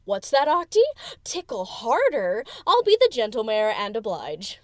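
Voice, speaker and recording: Woman as a cheeky, lively DJ pony, dry sound. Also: fairly high pitch, measured pace, dry recording. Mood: gleeful. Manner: casual, cocky, teasing. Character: cheeky, brash, teasing female DJ pony. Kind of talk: fan audio drama character acting.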